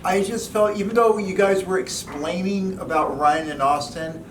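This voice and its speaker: A man's voice: sits high, steady tone, normal speed. A man giving informal feedback to a group in a careful, hesitant and tentative way. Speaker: former cast member giving feedback. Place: indoor gathering in a home. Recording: echoey, distant mic, noisy room.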